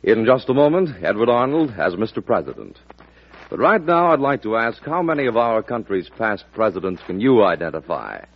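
A man's voice: lowish voice, sing-song, fairly quick. An American man announcing a 1940s radio program from a script, formal and emphatic, speaking straight to the listener. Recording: muffled vintage radio broadcast recording.

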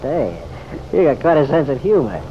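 A man playing a persistent, easygoing American police detective who needles in unhurried slang, in an old-time radio drama. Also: mid-range voice, sing-song, lightly acted. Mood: wry, unhurried. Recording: muffled, noisy vintage radio recording.

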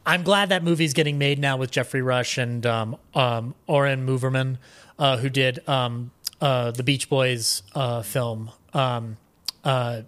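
A man's voice: mid-range voice, steady tone, measured pace, fairly bright tone. A man talking casually as a podcast guest, rambling along with a fan's enthusiasm. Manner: rambling, enthusiastic fan talk. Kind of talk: casual interview chat.

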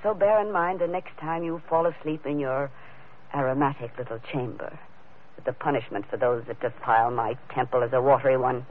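An actress playing a menacing, imperious temple high priestess in a theatrical old-time radio drama style, haughty and formal. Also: female voice, brisk. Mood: cold menace. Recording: tinny, narrow-band vintage radio, faint noise.

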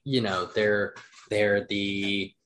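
Young adult man, podcast guest, low-voiced, hesitant and casual. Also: little pitch movement, drawn-out words, background noise. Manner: hesitant, many "um"s and restarts. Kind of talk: casual chat.

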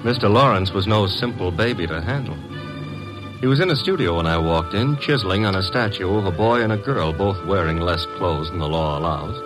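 An actor playing a sarcastic young private detective who also narrates, in 1940s radio acting style. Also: male voice, low voice, animated, a little fast, music in the background. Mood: narrating. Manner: dry, sarcastic wisecracks. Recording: noisy old radio broadcast.

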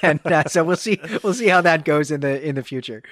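A man co-hosting a podcast in a casual, conversational style with somewhat halting phrasing. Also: a little high, a bit bright, no room sound, clean studio sound. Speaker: podcast co-host. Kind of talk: casual chat.

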